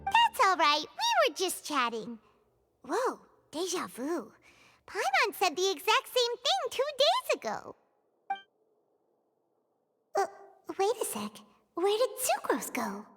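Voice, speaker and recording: A tiny female fairy companion from a video game, pitched high and childlike. The little creature is cheeky and blunt, chattering and teasing over soft music. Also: wildly swooping pitch, takes it slowly, pauses often, exaggerated voice. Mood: chatty, amazed. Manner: blunt, teasing, refers to herself by name. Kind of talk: video game character dialogue. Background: faint music.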